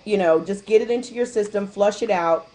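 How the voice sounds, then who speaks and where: A woman's voice: medium pitch, fairly quick, a little mellow, rough recording. A woman speaking candidly in a casual call-in chat, telling stories and acting out what others said. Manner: candid, chatty storyteller who acts out quotes. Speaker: guest distributor sharing her experience.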